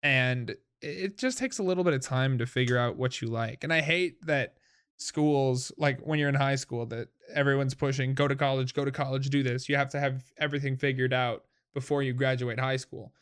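A twenty-year-old streamer hosting a casual chat, talking quickly and playfully with restarts. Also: mid-range voice, smooth. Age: young adult. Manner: quick, stumbling, playful talk.

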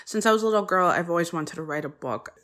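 Woman host, earnest, rambling solo intro, low-ish voice. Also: animated, fast talker, fairly bright tone. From United States. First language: Polish. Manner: earnest, chatty, frequent ums and side-tracks. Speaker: podcast host.

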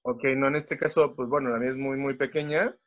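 A man from Mexico City with a Mexican accent, a podcast guest who talks haltingly over a video call. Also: mid-range voice, fairly even intonation, a little hiss or hum. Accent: Mexican Spanish. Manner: halting, many fillers and restarts. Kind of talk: casual chat.

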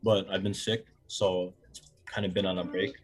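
A man hosting a casual podcast, relaxed and laid-back as he asks his friends questions. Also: low-pitched, moderate pace, breathy edge. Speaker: podcast host. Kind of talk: casual chat.

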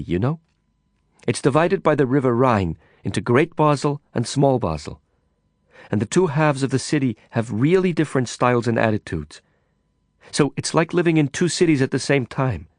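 A man's voice: medium pitch, no room sound, studio quality, voice pushed up, close to an everyday voice. A man voicing a cool, enigmatic Swiss woman.

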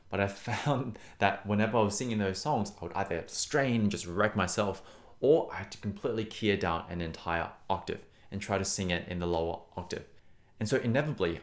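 A man who works as a voice teacher and host, talking conversationally to listeners in an encouraging, emphatic and reassuring way. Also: low voice. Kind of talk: conversational singing lesson to listeners.

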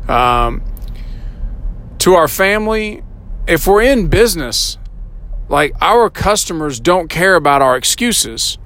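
Middle-aged male podcast host, a little hoarse, earnest motivational delivery, pitch on the higher side. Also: a bit bright. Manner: earnest, repeats words and asks "right?". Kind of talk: solo motivational podcast talk.

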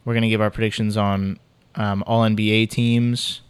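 A man's voice: on the low side, little pitch movement, clean tone, no echo. A young man co-hosting a casual podcast, trading teasing banter and drawing out emphatic points. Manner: teasing, dry banter, drawn-out emphatic delivery. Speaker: podcast co-host. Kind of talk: casual chat.

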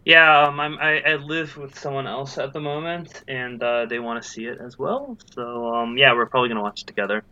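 A bright-toned man rambles through a casual podcast chat, full of likes and ums. The audio is dull and lo-fi. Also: mid-range voice, fairly even intonation, a little fast, few pauses, clear, resonant tone. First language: not Japanese. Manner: rambling, filler-heavy, laughs often. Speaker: podcast guest. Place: in Japan.

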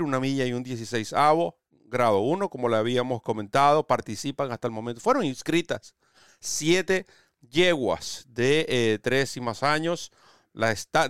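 A man's voice: medium pitch, fairly bright tone, clear, resonant tone, no echo, studio quality. A man hosting a horse racing show in Spanish, presenting and previewing races.

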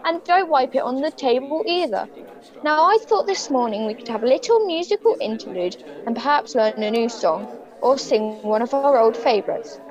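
A woman's voice: sits high, lively intonation, moderate pace, muffled recording, a faint crowd. A woman playing a prim, fussy schoolteacher. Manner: prim, patronizing, swooping intonation. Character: prim, fussy schoolteacher facing an unruly class. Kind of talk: comic stage monologue.

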